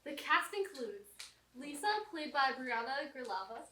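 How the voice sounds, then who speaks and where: A woman's voice: high voice, animated, moderate pace, sharp tone. A woman giving scripted announcements as co-host of a club's stage event. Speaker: event co-host, club presenter. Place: college theatre, indoors. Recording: echoey theatre, distant, faint noise.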